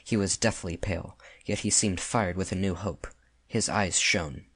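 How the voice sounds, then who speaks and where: Narrator for literary audiobook: man, very low voice, close dry recording. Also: normal speed, fairly bright tone, husky, no echo.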